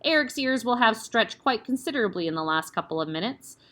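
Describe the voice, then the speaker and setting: A woman doing a towering, brutal headmistress from a storybook, loud and contemptuous, barking every order and sneering at small children. The voice is bright and keen, and it lurches up and down in pitch. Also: expressive, brisk. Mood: bullying. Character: huge bullying tyrant headmistress, older woman.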